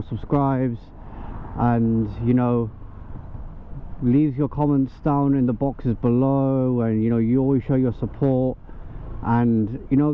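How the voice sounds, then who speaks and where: A man with a London accent, a delivery rider casually chatting to his viewers with lots of fillers and asides. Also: medium pitch. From England. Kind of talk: casual vlog chat. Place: out on the street and in a car. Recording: tinny lo-fi audio with faint traffic.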